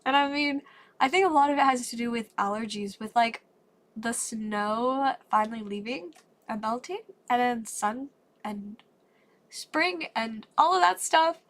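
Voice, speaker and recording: A woman's voice: a little high, measured pace, a bit bright. A woman chatting on a live stream while opening trading cards, a little congested and still getting her voice back, upbeat and rambling. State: congested, voice not fully recovered. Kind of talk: casual live-stream chat.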